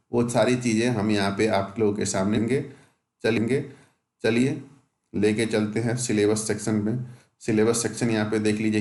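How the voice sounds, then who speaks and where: A man's voice: fairly low pitch, darkish tone. A man with a North Indian accent explaining details in an informal, instructional way, as a coaching teacher. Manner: instructional, often asks a question then answers it. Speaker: exam coaching teacher and channel host. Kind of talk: informal explanatory lesson, reading out details with comments. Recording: echoey, roomy home-made recording.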